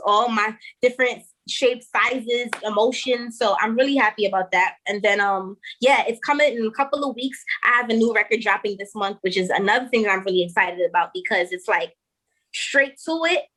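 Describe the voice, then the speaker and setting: A young woman with a Trinidadian accent, a rapper chatting quickly and laughing often as a guest on a video call. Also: mid-range voice, a bit bright, poor audio. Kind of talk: casual interview chat.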